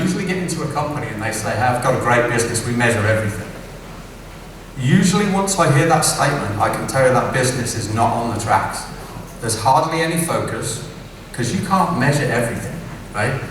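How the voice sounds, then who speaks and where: A middle-aged English man delivers a conference keynote in a casual, chatty way, tagging his points with "right?". He sits at an average pitch and sounds far from the mic. The hall gives heavy reverb, and clear background noise runs under him. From England. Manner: conversational, keeps asking "right?". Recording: echoey distant hall recording with background noise.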